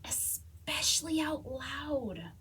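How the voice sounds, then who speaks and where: A woman reading a children's storybook, voicing an earnest, encouraging young girl with a gentle, expressive manner. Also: sits high, takes it slowly, recorded from a distance, heavily acted. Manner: expressive, gentle, sometimes whispering. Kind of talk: children's storybook read-aloud.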